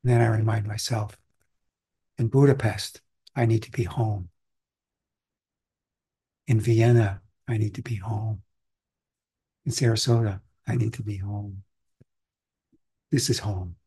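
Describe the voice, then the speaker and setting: A man from Austria, a meditation teacher, giving a calm, reflective and conversational Zen dharma talk. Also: on the low side, a little slow, long pauses, slightly rough.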